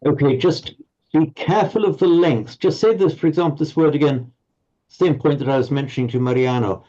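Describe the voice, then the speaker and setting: A man's voice: fairly high pitch, brisk, dark tone. A man teaching a Pali class online, explaining patiently and often stumbling over repeated words. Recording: slightly muffled audio.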